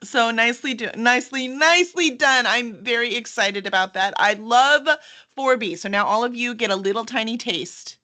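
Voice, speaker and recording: Bright-voiced woman hosting a casual book chat. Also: a little high, moderate pace. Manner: effusive, informal. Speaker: host promoting a book release.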